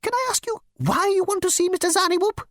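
Shrill, prissy insect receptionist. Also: female voice, fast, a bit bright, dry recording, studio quality. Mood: flustered. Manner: icy, haughty, flouncing.

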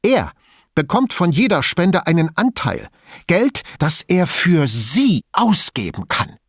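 Man voicing an agitated, high-pitched theatrical woman. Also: sing-song, normal speed, dry recording, studio quality. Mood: angry. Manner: theatrical, indignant, emphatic. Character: agitated, theatrical, defensive, spiteful woman under questioning. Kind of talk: audiobook character dialogue.

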